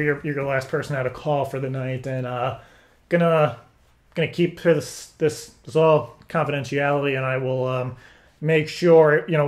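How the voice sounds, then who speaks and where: A man talking casually on a phone call as if interviewing someone, in a rambling, stammering, halting way. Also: fairly high pitch. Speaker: prank caller.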